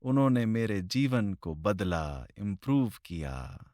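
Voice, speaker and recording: A man leading a guided meditation in slow, deliberate phrases with long pauses, recorded close to the mic. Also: on the low side, big pitch swings, a little mellow, no room sound.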